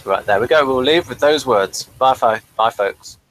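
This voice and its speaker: A man's voice: mid-range voice, normal speed, fairly bright tone, low-quality recording. A man co-hosting a casual review chat, speaking in a hesitant, understated way. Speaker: co-host of an episode review show.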